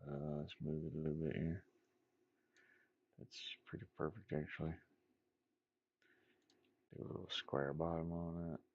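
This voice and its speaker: Very deep, gravelly, slow-talking man. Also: big pitch swings, dry recording, phone-quality sound. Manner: muttering to himself in short, offhand phrases. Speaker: game designer demonstrating pixel art. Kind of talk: casual screen-recorded work-along, thinking aloud.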